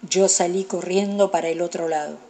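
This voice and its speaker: A man's voice: high voice, steady tone, normal speed, warm tone. A remorseful Argentine policeman in a radio play. He confesses in an earnest, sombre monologue, as a man weighed down by guilt. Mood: sombre, confessional. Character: remorseful male federal police officer confessing about a past repression.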